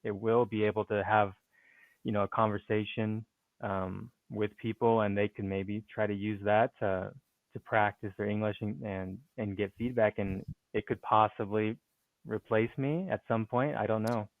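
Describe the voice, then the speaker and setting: A man's voice: on the low side, fairly even intonation, moderate pace, no echo. A man working as a speaking and writing coach, answering interview questions in a hesitant way, with many fillers and restarts. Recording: tinny phone-like line.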